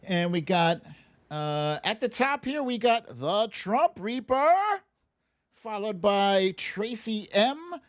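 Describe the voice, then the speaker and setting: Middle-aged man, fairly high voice, unhurried slow delivery, playful, casual live-stream host. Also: lively intonation. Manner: playful, hums and recites lyrics. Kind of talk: casual chat, running a song guessing game and reading out names.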